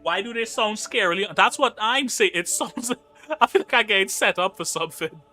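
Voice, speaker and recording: A man's voice: high-pitched, lively intonation, normal speed, pushed and bright, soft background music. A young man with a Trinidadian accent chatting casually on a live game stream, joking and reading chat messages aloud. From Trinidad and Tobago.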